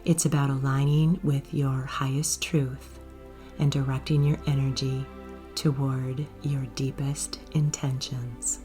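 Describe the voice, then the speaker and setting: A woman guiding a meditation in a soft, gentle, unhurried way, with breathy phrase endings. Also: very deep voice, flat delivery. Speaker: yoga nidra guide. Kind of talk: guided meditation narration. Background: soft music.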